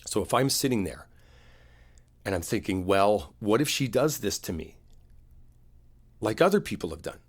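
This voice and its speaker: A man playing an earnest, wounded boyfriend in an intimate roleplay, speaking in a halting, confiding way. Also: fairly low pitch, sing-song. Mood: earnest, vulnerable.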